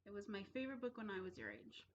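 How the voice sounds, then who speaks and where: A woman's voice: medium pitch, big pitch swings, fast talker, muffled recording, slight background noise. A woman reading a children's story aloud expressively, leaning dramatically on key words. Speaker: storybook reader.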